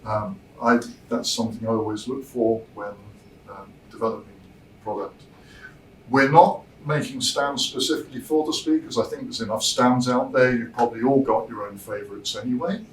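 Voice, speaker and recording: A man's voice: mid-range voice, fairly even intonation. Man presenting in a roomy, distant recording. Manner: measured, explanatory, pausing mid-thought. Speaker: loudspeaker designer presenting a product. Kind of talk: informal product presentation to visitors. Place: demo room at a hi-fi show, indoors. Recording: roomy, distant mic, noisy show room.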